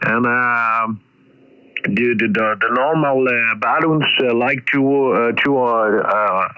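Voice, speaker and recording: A man with a non-native English accent talking technically as an amateur radio operator, halting and searching for words, heard over a radio transmission. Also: medium pitch, smooth, muffled recording. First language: not English. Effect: ham radio transmission. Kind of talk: ham radio contact, technical talk. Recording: roomy, band-limited shortwave radio transmission.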